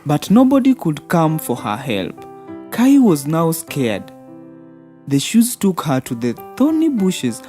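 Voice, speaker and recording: A woman narrating a scripted fairy tale for children as a storyteller. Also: sits low. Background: soft background music.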